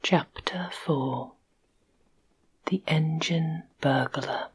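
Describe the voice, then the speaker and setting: A woman narrating a classic children's book in a soft whisper, in an ASMR reading. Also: low-pitched, breathy edge. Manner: soft, even, unhurried. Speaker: audiobook narrator.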